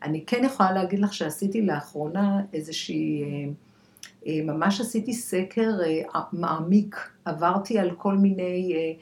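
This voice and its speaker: An Israeli woman speaking as an expert guest in a conversational podcast interview, halting and repeating words for emphasis as she searches for phrasing. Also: lowish voice, a little mellow. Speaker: guest expert, clinical dietitian. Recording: echoey room.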